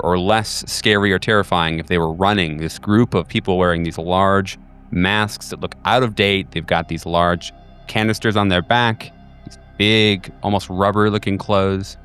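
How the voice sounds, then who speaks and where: A man hosting and narrating a tabletop horror game as game master, often pausing between phrases. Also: sits low, fairly bright tone, clean tone. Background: background music.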